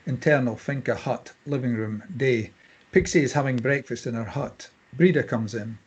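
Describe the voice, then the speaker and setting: Husky man reading screenplay directions. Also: medium pitch, moderate pace, lo-fi sound. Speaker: stage directions reader at a table read. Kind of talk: scripted narration of screenplay directions.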